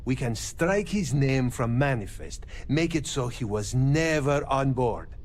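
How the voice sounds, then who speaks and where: An actor playing a level-headed spaceship captain in a video game, speaking in a measured, formal way without contractions. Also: male voice, mid-range voice, expressive, close to an everyday voice. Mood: measured, firm. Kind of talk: video game dialogue acting.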